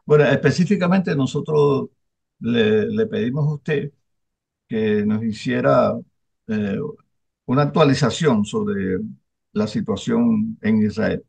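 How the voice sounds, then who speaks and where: An older man interviewing a guest over a video call, talking in a halting way with many restarts and fillers. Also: mid-range voice, creaky, a little mellow, slightly rough. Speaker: interviewer, newspaper publisher. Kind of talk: casual interview chat. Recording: dull lo-fi video call audio.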